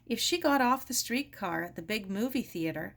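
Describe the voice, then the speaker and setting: A woman reading a children's story aloud as expressive scripted narration. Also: medium pitch, normal speed, vocal fry. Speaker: storybook reader.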